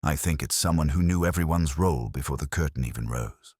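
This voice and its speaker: A shrewd, soft-spoken detective inspector from a mystery audiobook, played by a male narrator. The voice is very deep and husky, murmuring each knowing line at a measured, probing pace. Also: dry recording, studio quality. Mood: quietly suspicious.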